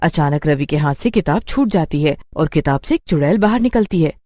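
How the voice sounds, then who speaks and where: A woman's voice: low-pitched, expressive, a little fast, mellow, dry recording, studio quality. A woman reading scripted narration for a children's cartoon story as its narrator.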